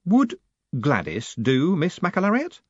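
A man voicing a flustered, nervous young postmaster who talks meekly with a jumpy, raised pitch. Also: wildly swooping pitch, takes it slowly, no echo, dull audio, heavily acted. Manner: flustered, meek, pitch jumping high.

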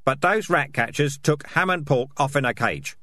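A scrappy male rat fighter acted by a man in a storybook reading, pitched up and hard-edged. He is blunt, snappish and suspicious, fiercely loyal to his clan. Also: lively intonation, moderate pace, sharp tone, dry recording. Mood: angry.

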